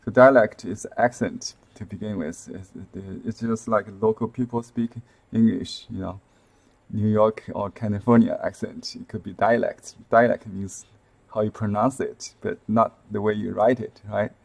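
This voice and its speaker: A man in his fifties with a Chinese accent, explaining calligraphy on a live stream from a desk webcam, softly and with many fillers and restarts. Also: on the low side, fairly even intonation, normal speed, creaky. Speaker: calligraphy and seal-carving teacher. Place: indoor room with shelves, at a desk.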